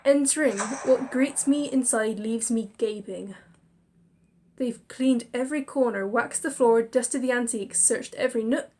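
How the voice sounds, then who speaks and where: Woman, expressive narration, fairly high pitch, chatty asides. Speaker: reader and narrator. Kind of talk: narrating a visual novel with inner monologue.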